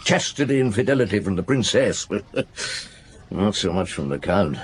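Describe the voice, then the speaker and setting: An actor playing a brooding, probing middle-aged police chief inspector in a radio drama, with pensive hums and pauses. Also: male voice, sits low, sing-song, husky, heavily acted. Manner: ruminative, questioning, with hums and pauses.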